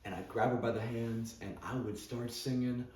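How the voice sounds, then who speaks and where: A man's voice: medium pitch, fairly even intonation, normal speed, darkish tone, lightly acted. A young man playing an awkward, grieving male English teacher who stammers, repeats his words and gives nervous little laughs, in a dramatic monologue. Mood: tender. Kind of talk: competitive dramatic interpretation monologue. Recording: roomy sound from a distant camera.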